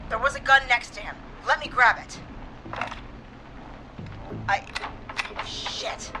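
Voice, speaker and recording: A woman's voice: fairly high pitch, takes it slowly, background noise. An actress playing a brave but frightened small-town woman dispatcher on the run in a video game. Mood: tense, urgent.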